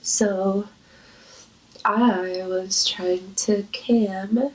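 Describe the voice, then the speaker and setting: A woman with a congested, creaky voice and a cold, chatting casually and intimately to viewers in a flirty, playful manner. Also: mid-range voice, steady tone, very slow, rough recording.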